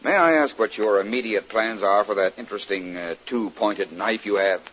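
An actor playing a wry, unflappable colonel in an old-time radio drama, speaking in a dry, casual, understated way. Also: male voice, fairly low pitch, moderate pace, fairly bright tone. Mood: wry, calm. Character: wry, unflappable male colonel hunting spies. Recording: dull, noisy vintage radio recording.

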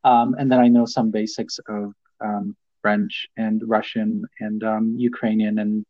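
A man, co-hosting a casual podcast, speaking hesitantly with many pauses and restarts, self-deprecating. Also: lowish voice, flat delivery, highs cut off. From Poland. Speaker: podcast co-host.

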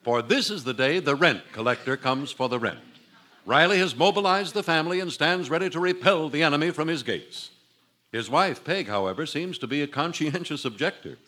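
A man's voice: fairly high pitch, animated, a little fast, sharp tone. A man announcing and narrating an old radio show in a formal, grandiloquent, scripted style. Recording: old radio broadcast recording. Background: music.

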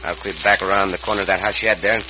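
An actor playing a gallant, commanding Mexican cowboy hero in an old radio western, with a Mexican accent and formal phrasing. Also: male voice, low-pitched, fairly even intonation, close to an everyday voice. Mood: resolute. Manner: formal, deliberate word choice without contractions. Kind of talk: 1950s western radio acting. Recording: muffled, hissy old radio recording.

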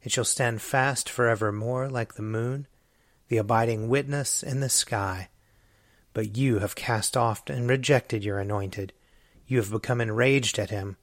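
A man reading a psalm and doxology aloud in a measured, solemn way. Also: mid-range voice, no room sound, studio quality. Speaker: scripture reader.

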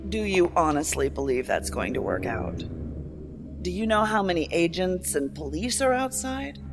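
An actress playing a cool, defiant captive federal agent in a sci-fi audio drama, needling with measured questions. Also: female voice, lowish voice, sing-song. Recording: music under the voice.

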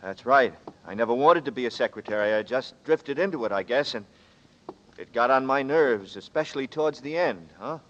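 An actor playing a weary, guarded fugitive in a 1940s noir radio drama, speaking in a halting, understated way. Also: male voice, mid-range voice, expressive, a bit bright, close to an everyday voice. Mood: probing. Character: weary, guarded man on the run, feeling his way. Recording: muffled 1940s radio recording.

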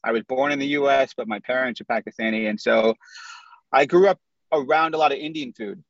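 A man's voice: mid-range voice, fairly quick, no echo. A man in his thirties from the American Midwest, candidly telling his life story as a guest on a video call interview. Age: 30s. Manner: candid, storytelling, lists things in runs. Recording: dull lo-fi video call audio.

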